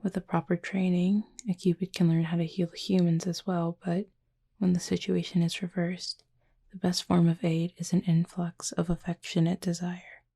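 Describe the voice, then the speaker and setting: A woman playing a gentle, wistful Cupid roommate in an intimate ASMR roleplay, speaking softly and slowly in a breathy near-whisper. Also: on the low side, little pitch movement. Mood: calm, explanatory. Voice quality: soft, breathy, husky, often near-whisper. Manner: slow, measured, formal storytelling with soft pauses.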